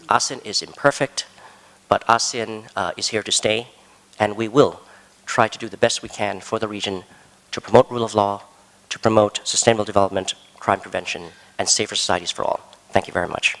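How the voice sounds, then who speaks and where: A man giving earnest, measured remarks on a conference panel and stressing his key words. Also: fairly low pitch, a bit bright. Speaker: panel speaker. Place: large conference hall. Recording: long hall reverb.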